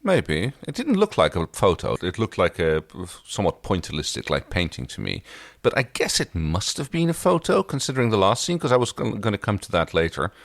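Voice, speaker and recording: A man co-hosting a podcast, talking through a television episode in an animated, analytical way. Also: lowish voice, a little fast, vocal fry, few pauses, no echo, clean studio sound. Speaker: podcast co-host. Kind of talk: casual episode discussion.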